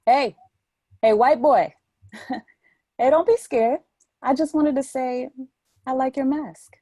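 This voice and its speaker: A woman's voice: sits high, slow pace, dark tone, no echo, studio quality. A woman playing a bold, teasing, insistent city woman in her thirties in an audio play. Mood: playful, insistent.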